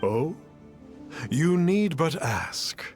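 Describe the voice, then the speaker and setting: An actor playing a lofty, mysterious self-styled immortal sage in a game cutscene, speaking in archaic, pompous, cryptic phrases. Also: male voice, fairly high pitch, big pitch swings, drawn-out words, darkish tone, breathy edge, faint music behind, heavily acted. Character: lofty, mysterious man posing as a wise immortal adept. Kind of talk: scripted game cutscene acting.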